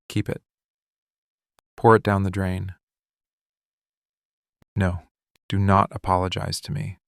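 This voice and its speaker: A man acting a possessive, wounded mafia boss in an intimate boyfriend ASMR roleplay, speaking in controlled, deliberate, intense phrases. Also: lowish voice, creaky, dry recording, clean studio sound. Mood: cold, resigned. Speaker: ASMR voice actor.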